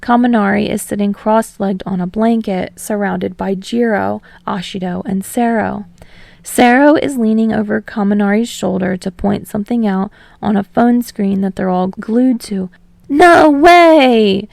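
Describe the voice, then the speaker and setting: Creaky-voiced woman narrating fiction. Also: medium pitch, steady tone, normal speed, mellow, dry recording. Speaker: audiobook narrator.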